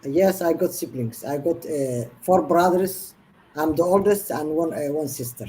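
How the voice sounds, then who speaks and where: A woman's voice: deep voice, a little slow, dark tone. A woman in her fifties from Somalia, a guest in an online interview, telling her story earnestly in long run-on sentences. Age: 50s.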